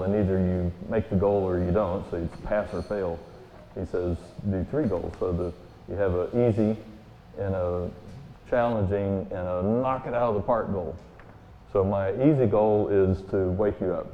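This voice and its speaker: A man with a booming voice giving a guest sermon in a church, telling stories in a folksy, relaxed way with many fillers. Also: moderate pace, slight background noise. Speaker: guest speaker. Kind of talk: sermon with personal story. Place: church sanctuary. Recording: echoey hall, tinny phone-like sound.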